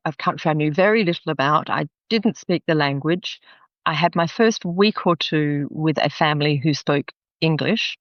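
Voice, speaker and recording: A woman's voice: sits low, dry recording, muffled recording. Chatty Australian woman telling stories. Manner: chatty storyteller, laughs often. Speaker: guest. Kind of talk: podcast interview.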